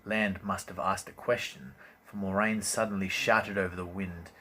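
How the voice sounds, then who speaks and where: Low-voiced man narrating a fantasy novel. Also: steady tone, moderate pace, pushed and bright. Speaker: audiobook reader, host. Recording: amateur recording with faint background noise.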